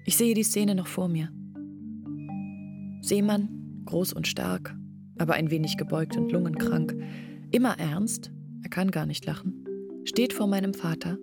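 A woman's voice: fairly low pitch, unhurried, long pauses, over music. An actress playing a troubled young village woman who recounts her family's downfall as a first-person story in a radio play. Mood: bitter, intent.

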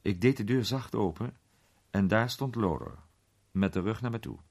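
A man playing an urbane gentleman detective who recounts his case with measured, suspenseful pauses in a radio play. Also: fairly low pitch, slightly rough. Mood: composed, confiding. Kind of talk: dramatised storytelling in a radio play.

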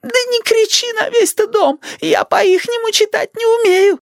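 A woman voicing a querulous, manipulative old grandmother in an audiobook, with plaintive, drawn-out wailing. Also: very high voice, gravelly, no room sound, clean studio sound, voice pushed up, heavily acted. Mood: querulous, complaining. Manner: plaintive drawn-out shrieking and moaning. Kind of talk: dramatized audiobook reading.

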